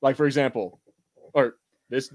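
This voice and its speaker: A man's voice: medium pitch, steady tone, moderate pace, darkish tone. A man co-hosting a podcast, chatting casually through a movie watch party, swearing freely and acting out imagined lines. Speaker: podcast co-host.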